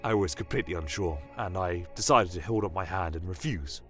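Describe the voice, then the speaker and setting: Low-voiced man narrating dark fantasy. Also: fairly quick. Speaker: storyteller narrating in first person as an inquisitor. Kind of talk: dramatic scripted fantasy narration. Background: music.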